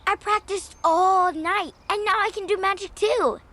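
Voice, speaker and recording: A woman's voice: extremely high pitch, steady tone, a little slow, bright, forceful tone, heavily acted. A woman voicing a sweet, eager little girl in a motion comic, with a childlike way of talking. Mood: excited. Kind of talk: scripted cartoon dialogue.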